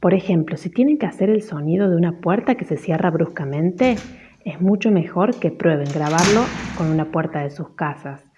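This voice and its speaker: Mellow, dark-voiced Argentine woman teacher. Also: fairly low pitch, lively intonation, moderate pace, muffled recording, a little hiss or hum. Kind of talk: instructional presentation with examples.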